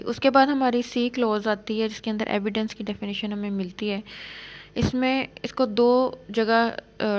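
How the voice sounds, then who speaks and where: A woman giving an exam-prep law lecture, reading English clauses slowly and explaining them in Urdu. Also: mid-range voice, a little mellow.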